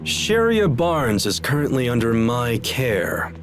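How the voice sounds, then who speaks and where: An actor playing a haughty, scheming noble officer in a video game, with a smug, mannered menace. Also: male voice, medium pitch, very animated, slow. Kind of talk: dramatic video game voice acting. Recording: music behind the voice.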